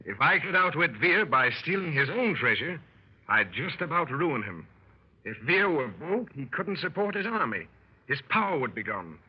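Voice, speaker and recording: Animated, husky 1930s radio adventurer. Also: male voice, a little high, normal speed. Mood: eager storytelling. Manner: wry, animated storyteller. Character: plucky gentleman adventurer telling his dangerous exploits. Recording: muffled 1930s radio broadcast.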